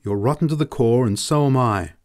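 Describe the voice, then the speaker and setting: A man's voice: medium pitch, a little fast, darkish tone, pitched above the performer's own voice, natural voice. A man reading a novel aloud, voicing an anguished, lovesick man who is bitter and passionate. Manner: pleading and sneering by turns, emotional. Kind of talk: audiobook character reading.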